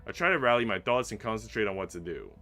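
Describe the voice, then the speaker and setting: A man reading a visual novel aloud as a streamer, in an expressive, dramatic narration that drops hushed and rises with emphasis. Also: lowish voice, fast talker. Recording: faint game music behind. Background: game music.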